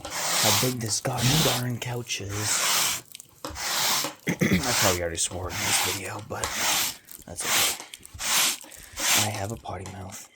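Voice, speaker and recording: A man chatting casually while cleaning his living room, meandering and offhand, with quiet mumbled asides, into a handheld phone. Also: low-pitched. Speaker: vlogger and pet owner. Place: home living room, indoors. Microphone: handheld phone camera. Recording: loud background noise.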